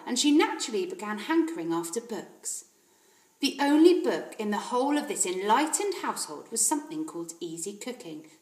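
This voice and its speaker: Female reader, schoolteacher type: expressive storybook narration, animated intonation, roomy sound. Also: on the high side. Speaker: teacher reading aloud. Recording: roomy echo.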